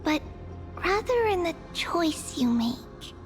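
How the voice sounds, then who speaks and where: A woman playing a serene, gentle holy maiden in a video game, speaking in a measured, solemn way. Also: extremely high pitch, big pitch swings, heavily acted. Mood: gentle, encouraging. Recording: background music under the voice.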